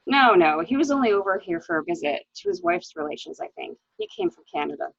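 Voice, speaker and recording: A woman plays an anxious widow in a murder mystery, hesitant yet dramatic. Her husky voice swoops expressively and crackles with fry, on a dry, dull lo-fi recording. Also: fairly high pitch, sing-song, fast talker, no echo, full character voice. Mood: uncertain. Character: anxious, hesitant new widow recounting her husband's misdeeds. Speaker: library staff reader.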